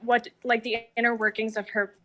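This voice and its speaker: Very bright-voiced woman in thoughtful discussion. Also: fairly high pitch, level tone, brisk. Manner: reflective, analytical, hesitant phrasing. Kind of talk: casual, thoughtful discussion of a TV series.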